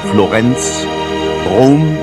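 A man's voice: mid-range voice, animated, very slow, loud music behind the voice. A man narrating a children's musical story expressively.

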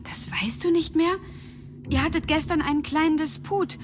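A woman's voice: high-pitched, steady tone, moderate pace, slight background noise, close to an everyday voice. A woman playing a worried young daughter of Death in a radio drama, urgent and chiding.

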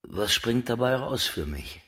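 An actor playing a wary, self-interested gun dealer in a radio drama. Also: male voice, medium pitch, animated, a little slow, fairly bright tone.

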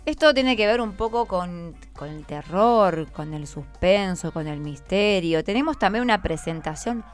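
A woman's voice: fairly low pitch, lively intonation, unhurried, faint music behind. A woman with a Buenos Aires accent hosting a school radio show, encouraging and full of questions as she talks. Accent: Argentine, Buenos Aires. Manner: encouraging, asks many questions. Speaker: radio host. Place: radio studio.

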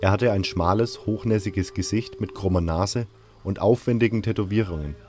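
A man's voice: fairly low pitch, moderate pace, darkish tone. A man narrating a scripted fantasy audio drama in the first person as a storyteller. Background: music.

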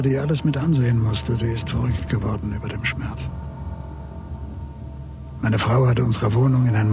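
A man plays a middle-aged father hollowed out by grief and fixed on watching. He broods in short, terse phrases, as if talking to himself in a radio drama. Music plays clearly behind his words. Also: mid-range voice, close to an everyday voice. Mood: bitter, numb. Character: bereaved, embittered father obsessively watching his son's killer. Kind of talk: radio drama inner monologue.

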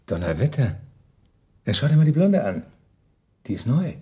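An actor playing a coarse, cynical Nazi crony in a German radio play, sneering and slangy. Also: male voice, medium pitch, big pitch swings, normal speed, dark tone, slight background noise, close to an everyday voice. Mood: leering.

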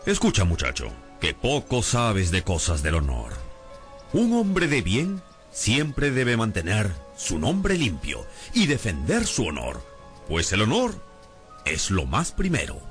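An actor in a radio drama playing a proud, impoverished gentleman obsessed with honour, speaking in a grandiose, courtly manner. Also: fairly low pitch, huge pitch swings, slow pace, soft background music, heavily acted. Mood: lofty, solemn. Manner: grandiose, courtly, old-fashioned phrasing. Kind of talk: scripted radio drama acting.

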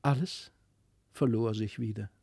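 A man narrating a fairy tale as an expressive audiobook reading. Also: lowish voice, unhurried, creaky, slightly rough, dry recording, studio quality. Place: dry studio.

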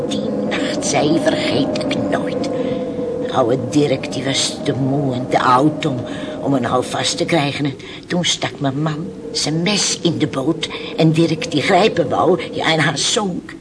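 An actress playing a weathered, resigned fisherman's widow in a radio play, telling her story haltingly in hushed tones. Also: low-pitched. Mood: resigned, grave. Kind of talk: Dutch radio play acting. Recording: noisy old radio play recording.

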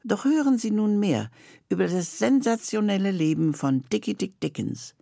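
A woman presenter with a mellow, husky voice and noticeable fry. She reads a scripted introduction calmly and composedly, in a very dry studio. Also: mid-range voice, normal speed, no room sound. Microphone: close studio microphone.

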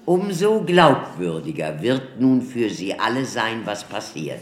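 A man's voice: lowish voice, big pitch swings, unhurried. A man in a German radio drama playing a courteous, persuasive showman of science with a grandiloquent, theatrical manner. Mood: suave, persuasive. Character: showman doctor giving electricity demonstrations, courteous, theatrical, persuasive. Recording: echoey hall with audience murmur.

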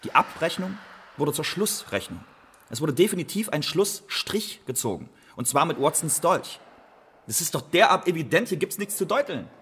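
A man's voice: on the high side, very animated, moderate pace, fairly bright tone, slightly rough, full character voice. An actor in a radio play voicing a quarrelsome, sarcastic playwright accused of murder, arguing his innocence in repetitive phrases. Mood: dismissive, arguing.

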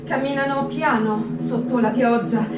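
A woman's voice: on the high side, steady tone, measured pace, very noisy. A woman reciting a war story in a performed reading, intense and lingering, sometimes half-singing her phrases. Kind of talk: dramatic recitation. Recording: roomy radio broadcast from a distant mic. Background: loud guitar music.

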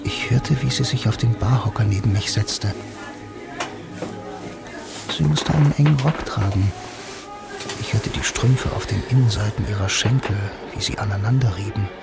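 An actor playing a blind, film-loving loner, narrating a radio play in a dry, wry, laconic manner. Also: male voice, on the low side, normal speed, gravelly, long pauses. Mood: reflective. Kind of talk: first-person radio drama narration and dialogue. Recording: loud music behind the voice.

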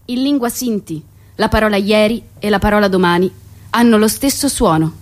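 A woman's voice: medium pitch, normal speed, natural voice. A young woman acting a stage monologue as a proud, outspoken dreamer with a declamatory delivery. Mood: proud, defiant. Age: young adult. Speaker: theatre actress. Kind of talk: theatrical monologue. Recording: music under the voice.

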